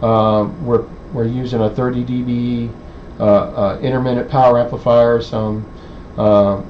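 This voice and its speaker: A man giving an unscripted technical demonstration in a halting, hesitant way, thinking aloud as he explains. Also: medium pitch, little pitch movement. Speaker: presenter of an electronics how-to video. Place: indoor electronics workbench. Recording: dull lo-fi audio with clear background noise.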